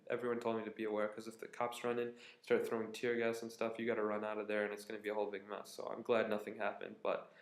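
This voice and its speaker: A young American man telling stories to his vlog camera in a tired, rambling, relaxed way, indoors at a friend's apartment. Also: fairly low pitch, fast talker. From United States. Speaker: vlogger. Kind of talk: casual storytelling vlog. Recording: echoey room.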